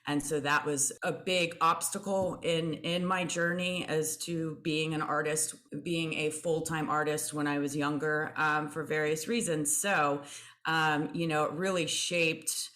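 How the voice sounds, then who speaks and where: A woman's voice: low-pitched, steady tone, measured pace, fairly bright tone. A middle-aged woman from Belgium, an artist guest answering interview questions thoughtfully with many fillers and restarts.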